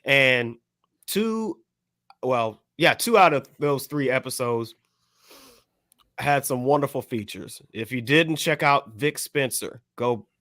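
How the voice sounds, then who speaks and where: A man's voice: medium pitch, animated, slow, pushed and bright, dry recording, clean studio sound. A man co-hosting a podcast in casual, slangy banter, often repeating phrases for emphasis. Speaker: podcast co-host.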